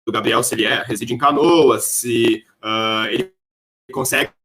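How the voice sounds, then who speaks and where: Um homem com sotaque do sul do Brasil entrevistando de modo informal, montando as perguntas aos pedaços. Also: male voice, mid-range voice, fairly even intonation, normal speed, fairly bright tone. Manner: rambling, piecemeal questions. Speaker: podcast interviewer. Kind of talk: informal interview questioning.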